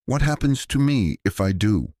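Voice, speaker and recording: A scared young woman who speaks in a pleading, anxious way, voiced by a man. Her lines sit above a deep reading voice. Close and dry. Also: very animated, normal speed, darkish tone, no echo.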